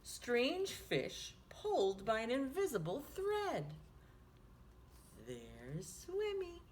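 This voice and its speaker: A woman reads a picture book to young children as a storytime host. Her voice is highish and swoops in a sing-song way, taken slowly, with some background noise. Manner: animated, sing-song, with hushed asides to listeners.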